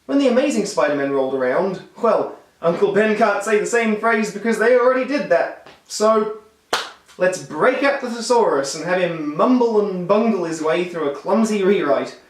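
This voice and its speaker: A man with a high voice that has gone hoarse delivers a wry, upbeat film review. He sounds set back from the microphone, and the room adds a noticeable echo. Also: lively intonation, normal speed, slight background noise. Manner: wry, enthusiastic critique. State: hoarse, losing his voice. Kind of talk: scripted video review. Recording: roomy echo, distant mic.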